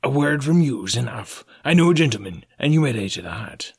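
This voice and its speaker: A man's voice: mid-range voice, animated, fairly quick, gravelly, breathy edge, voice pushed up. A man voicing a wily, jovial one-legged old male pirate, talking in a wheedling, mock-polite way.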